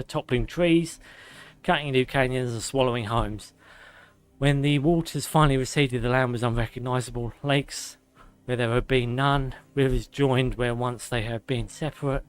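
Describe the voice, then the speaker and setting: A man streaming a game, reading lore aloud with sarcastic asides, his voice going hoarse and a little out of breath. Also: medium pitch, fairly even intonation, moderate pace. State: slightly out of breath. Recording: faint music behind.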